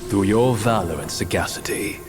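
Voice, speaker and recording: An actor playing a serene male bodhisattva in a game cutscene, speaking in a measured, formal way. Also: on the low side, loud music behind the voice, lightly acted. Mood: solemn.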